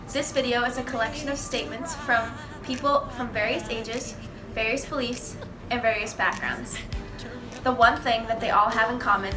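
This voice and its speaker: A young woman, a college student, narrating a scripted introduction in an earnest, steady way. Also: a little high, roomy sound. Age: young adult.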